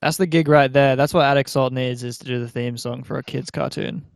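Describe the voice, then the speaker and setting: A man's voice: mid-range voice, steady tone, fairly quick, vocal fry, smooth, dry recording, studio quality. A young man hosting a casual chat with bantering ease. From Australia. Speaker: podcast host.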